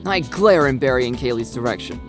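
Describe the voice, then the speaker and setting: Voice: medium pitch, expressive, brisk. A narrator reading a dramatised first-person story in a scripted style. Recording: dry, music behind. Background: background music.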